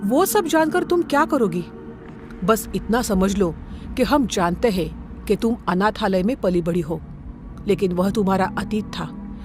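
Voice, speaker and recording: A woman's voice: mid-range voice, animated, unhurried, music in the background, close to an everyday voice. A woman playing a cold, controlling middle-aged mother-in-law who speaks in a commanding way. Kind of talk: Hindi audio story acting.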